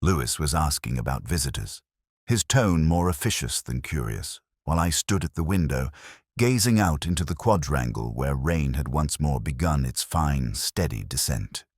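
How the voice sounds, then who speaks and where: A man narrating a scripted first-person detective story in a measured, literary, brooding manner. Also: very deep voice, animated, husky, no room sound, clean studio sound.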